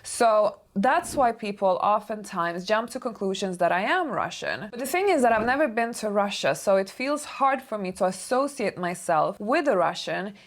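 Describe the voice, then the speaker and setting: A woman in her thirties, born and raised in Sweden and speaking English as a second language, talks straight to camera for her regular vlog audience. She sounds earnest and explanatory, correcting misunderstandings in an even, mid-pitched voice. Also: normal speed, few pauses. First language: Swedish. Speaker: YouTube host, elegance educator. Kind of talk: casual talking-to-camera vlog.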